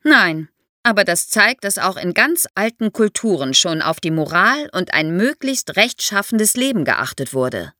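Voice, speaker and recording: A woman's voice: medium pitch, big pitch swings, unhurried, sharp tone, no room sound, clean studio sound. A woman explains history to young listeners as an expert guest, in a didactic way, posing questions as she goes.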